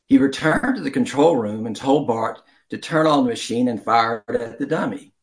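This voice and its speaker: A man reading a children's story aloud as an amateur storyteller, deliberately, with some stumbles and throat-clearing. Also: medium pitch, lively intonation.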